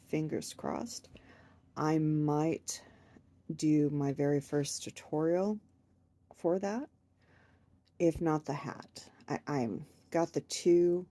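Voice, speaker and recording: A woman chatting casually to camera about her crochet projects, hoarse and stuffed up from a cold, rambling and laughing at herself. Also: low voice, warm tone. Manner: rambling, self-deprecating with frequent laughs. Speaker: crochet vlogger.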